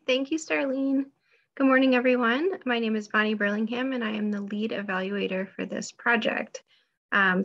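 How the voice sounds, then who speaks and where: A woman introducing herself as lead evaluator, brisk and polite, over a video call. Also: mid-range voice, vocal fry, fairly bright tone. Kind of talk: brief work introduction. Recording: dull lo-fi call audio.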